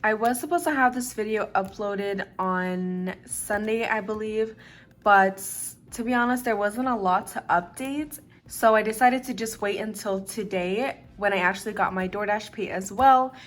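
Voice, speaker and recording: Young woman in her twenties, casual vlogger, slightly raspy and tired, relaxed chatty delivery. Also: medium pitch, normal speed, faint music behind. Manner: relaxed, chatty, uses "like" and "literally" often. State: slightly raspy from lack of sleep. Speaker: budgeting vlogger. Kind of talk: casual chat to camera.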